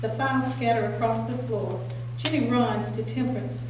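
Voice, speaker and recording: A woman serves as narrator at a play reading, delivering scripted stage directions. She is heard from a distance in a very echoey room with clear background noise. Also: fairly high pitch, steady tone, moderate pace.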